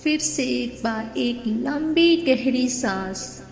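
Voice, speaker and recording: Soothing lady doing guided meditation, really slow with long pauses, music behind her. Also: on the high side, expressive, creaky, darkish tone. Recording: echoey long reverb with music.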